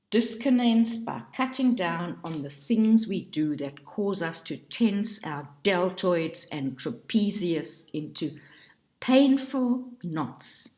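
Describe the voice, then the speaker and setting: A woman reading a book aloud in a slow, measured way, now and then stumbling over a word. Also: roomy sound. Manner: slow, measured reading with lively pitch and occasional slips. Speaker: reader.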